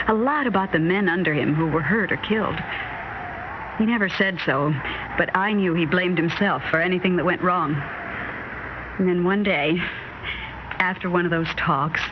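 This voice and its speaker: A woman plays a guarded, wistful American wife in an old radio drama, speaking earnestly with quiet worry. Her voice comes through a muffled recording with clear noise. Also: on the low side, close to an everyday voice. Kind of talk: vintage radio drama acting.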